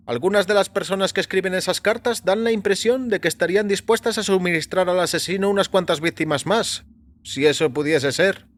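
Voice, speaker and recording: A man playing a chatty, jovial doctor in audio fiction, with a breezy, animated delivery. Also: high-pitched, voice pushed up, lightly acted. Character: chatty, jovial doctor, curious and matter-of-fact. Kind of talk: audio fiction character acting. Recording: music behind the voice.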